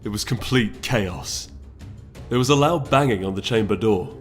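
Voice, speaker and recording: A man's voice: lowish voice, moderate pace. Solemn male story narrator over music. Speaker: story reader. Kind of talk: dramatic scripted storybook narration.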